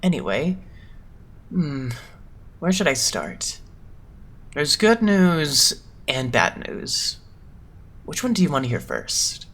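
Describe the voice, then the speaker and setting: A man voicing a composed, eloquent young male idol leader in an anime fandub, measured, polite and a little pompous. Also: on the high side, huge pitch swings, fairly bright tone, exaggerated voice. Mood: lofty, measured. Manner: measured, pompous, smoothly condescending. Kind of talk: anime-style fandub voice acting.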